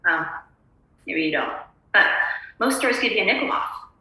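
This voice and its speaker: A woman's voice: medium pitch, lively intonation, moderate pace, sharp tone. A woman playing an anxious, polite suburban housewife in a screenplay table read, prim and chirpy. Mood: polite, wistful. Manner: prim, apologetic, fussy. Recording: echoey room.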